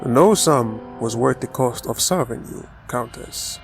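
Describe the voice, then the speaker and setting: A man plays a bitter fantasy renegade, guarded and dry. His mid-range voice delivers terse lines with music clearly playing behind. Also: medium pitch, lively intonation, measured pace. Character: guarded, bitter renegade medic-marksman on the run. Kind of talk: dramatic fantasy audio drama.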